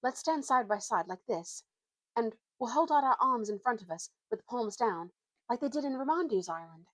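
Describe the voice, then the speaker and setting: A woman voicing an earnest, secretive schoolboy in a story reading, speaking solemnly and haltingly in old-fashioned schoolboy slang. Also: on the high side, expressive, no echo, pitched above the performer's own voice. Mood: solemn, thoughtful.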